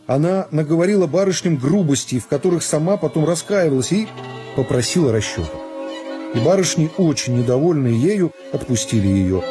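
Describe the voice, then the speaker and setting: A man narrating a literary story for a radio drama in a measured, phrase-by-phrase storytelling manner. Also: fairly high pitch, lively intonation. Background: music.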